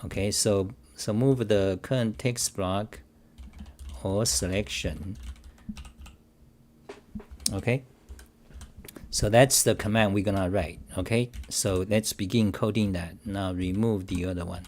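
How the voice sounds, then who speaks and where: A man's voice: lowish voice, steady tone, slow, pauses often, a little mellow, a little hiss or hum. Rambling man hosting a coding livestream. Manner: rambling, repetitive restarts, defensive and emphatic; reads chat aloud, addresses viewers directly. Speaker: live-stream talk show host. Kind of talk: unscripted coding livestream rant.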